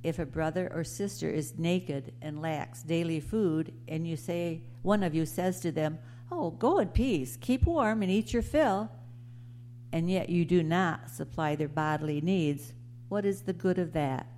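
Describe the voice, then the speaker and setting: Woman reading bible passage, soft mellow tone, really unhurried, bit of background hum. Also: on the low side. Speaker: scripture reader. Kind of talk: scripture reading aloud. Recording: clear background noise with hum.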